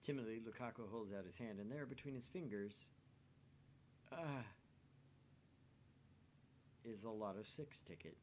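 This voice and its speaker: A man's voice: lowish voice, measured pace, long pauses. A man streaming from indoors, reading a visual novel aloud and chatting casually. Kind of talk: live story reading and casual chat. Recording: faint background noise.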